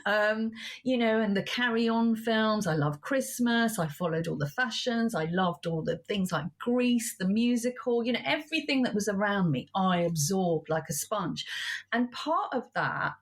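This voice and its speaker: A woman's voice: medium pitch, lively intonation, normal speed. A woman, a book author recounting her childhood in an interview, earnest and personal, with frequent "you know" asides. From England. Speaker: author guest. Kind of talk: reflective interview storytelling.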